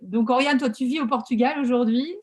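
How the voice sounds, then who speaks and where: A woman hosting an interview over a video call, chatty and energetic and using lots of fillers. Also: sits high, fairly quick, breathy edge. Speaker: podcast host and coach.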